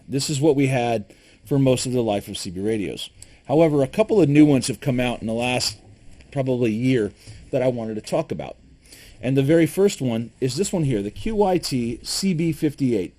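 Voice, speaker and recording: A man from Michigan talks through survival gear in an easygoing, unscripted way. He stumbles and restarts often and fills pauses with ums. His opinions come out plainly. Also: medium pitch, darkish tone. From Michigan, USA. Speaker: preparedness gear reviewer. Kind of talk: casual gear review to camera.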